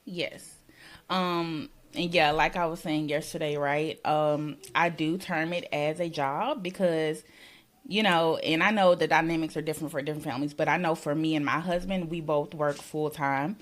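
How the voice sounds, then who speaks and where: A woman with a notably low-pitched voice talks in a relaxed, conversational way. She reflects out loud, filling pauses with "you know" and "um" as she shares her experiences as a working mother. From Mississippi. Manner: reflective, thinks aloud with many "you know"s. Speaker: podcast guest, working mother. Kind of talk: casual conversation.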